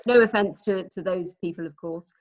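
A woman in her forties from Norwich, England, with an English accent, chatting as a podcast guest about her work in a relaxed, explaining way with frequent ums. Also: lowish voice, normal speed, dull audio. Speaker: recruitment manager, guest. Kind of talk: conversational podcast interview.

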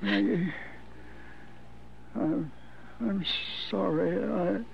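Dying young man, high for a man, heavy croak, faltering delivery, tender, noisy old radio western. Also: lo-fi sound, exaggerated voice. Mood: weak, tender. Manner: halting, broken, gravelly. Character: dying, tender, weak-voiced son. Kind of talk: 1950s radio western acting.

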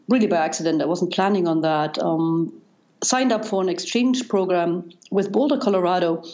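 A woman in her forties from East Germany with a German accent, answering interview questions thoughtfully with many pauses and fillers. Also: mid-range voice, a little mellow, muffled recording. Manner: thoughtful, many ums and restarts. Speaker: guest, data scientist.

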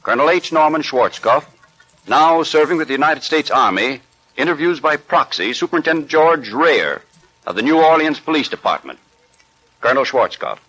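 A man's voice: fairly high pitch, animated, moderate pace, sharp tone, low-quality recording. A man announcing a sponsor message in 1940s radio style on a vintage recording.

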